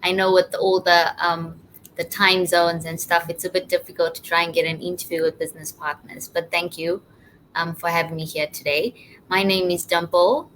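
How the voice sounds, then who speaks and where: A woman from Zimbabwe with a slightly low voice talks casually as an interview guest. She is on a livestream call with faint background noise. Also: level tone, moderate pace, a bit bright, rough recording. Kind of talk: casual personal testimonial.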